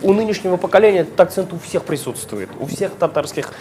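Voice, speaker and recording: Animated, higher-voiced man chatting. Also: fast. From Tatarstan region of Russia. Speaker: talent show judge. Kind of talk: casual chat.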